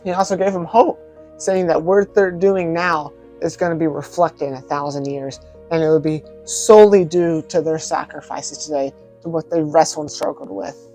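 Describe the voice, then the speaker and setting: A man's voice: fairly high pitch, fairly even intonation, normal speed, a little mellow. Casual male vlogger over music. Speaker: video host reviewing films. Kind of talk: casual spoken vlog commentary.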